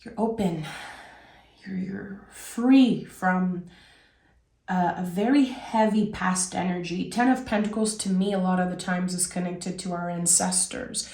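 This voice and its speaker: A woman gives an unhurried tarot reading, her voice raspy from a cold. She wanders thoughtfully from point to point, and her voice rings in an echoey room. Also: on the low side, darkish tone. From Québec. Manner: reflective, meandering, with fillers. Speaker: tarot reader. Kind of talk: casual spoken tarot reading.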